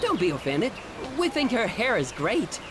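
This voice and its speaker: A man's voice: high-pitched, wildly swooping pitch, normal speed, background noise. An actor playing a brash, goofy, eager male bungler in a comic video game cutscene. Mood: cocky, teasing. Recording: loud music over the voice.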